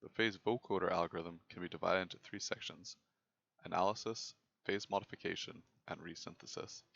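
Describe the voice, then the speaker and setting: A young man narrates a technical presentation in a very low voice with a lot of fry. His delivery is measured and formal, and the recording sounds slightly dull and lo-fi. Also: steady tone, a bit bright. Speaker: presenter of a student software project.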